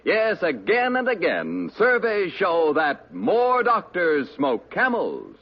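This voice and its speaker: A man's voice: sits high, wildly swooping pitch, takes it slowly. A man announcing a commercial on 1950s radio, upbeat and salesy. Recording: muffled old radio broadcast.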